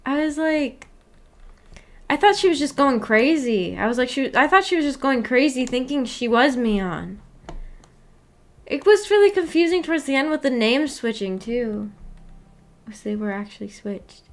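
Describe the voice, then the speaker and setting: A woman with a somewhat high-pitched voice hosts a casual book club stream. She rambles as she thinks aloud, questioning herself in a puzzled way. Her talk is giggly and informal. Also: animated, normal speed. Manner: giggly, laughs often while talking. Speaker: streamer hosting a visual novel book club. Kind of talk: casual livestream chat reacting to a story.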